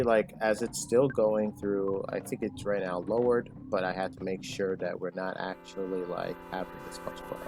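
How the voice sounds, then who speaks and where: A man giving casual, rambling commentary over his own gameplay, full of fillers and restarts, with a loud voice. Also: on the low side. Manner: rambling, filler-heavy, self-correcting. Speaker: gaming channel host. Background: game music.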